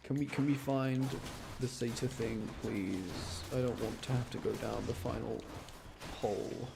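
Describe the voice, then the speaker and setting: A man's voice: mid-range voice, fairly even intonation, unhurried, creaky, noisy background. A man doing casual live game commentary for his chat, rambling and thinking aloud as he plays. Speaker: game streamer.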